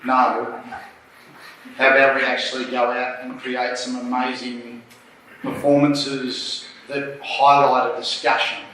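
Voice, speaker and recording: A man's voice: mid-range voice, little pitch movement, slow, a bit bright. An Australian man answering on a conference panel, blunt, plain-spoken and urging. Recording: echoey hall, distant mic, faint noise.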